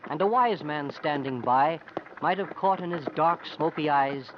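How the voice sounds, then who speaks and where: A man's voice: a little high, measured pace, noisy background, natural voice. An actor playing a sixteen-year-old travelling acrobat who tells his own story plainly in a 1950s radio drama. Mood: reflective. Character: sixteen-year-old acrobat boy, looking back as narrator. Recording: dull old radio recording.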